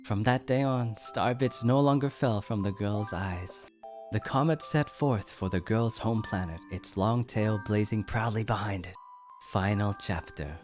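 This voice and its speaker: A man reading a storybook aloud as a bedtime story, gentle and unhurried. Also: fairly low pitch. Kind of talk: storybook narration. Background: background music.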